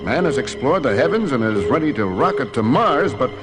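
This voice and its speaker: An actor playing a knowledgeable, steady scientist father, speaking in an earnest, explanatory way in a vintage cartoon adventure. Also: medium pitch, brisk, lightly acted. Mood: grave, intrigued. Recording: prominent music behind the voice.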